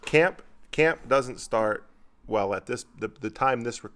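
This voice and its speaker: A man's voice: mid-range voice, a little slow, fairly bright tone, clear, resonant tone. A man co-hosting, easygoing and self-deprecating in casual chat. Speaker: co-host.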